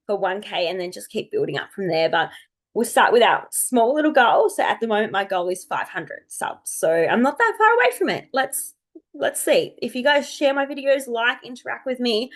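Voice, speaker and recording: A woman, slightly croaky from a cold, chatting casually and rambling as she thinks aloud to her viewers. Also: a little high, lively intonation, normal speed. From Australia. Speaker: astrology video host. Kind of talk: casual unscripted chat to viewers.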